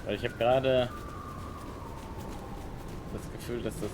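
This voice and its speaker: Musing male streamer, mid-pitched voice. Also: steady tone, unhurried, noisy background. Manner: musing, thinking aloud. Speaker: game streamer. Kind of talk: casual live-stream commentary in German. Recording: game music behind the voice. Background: video game music.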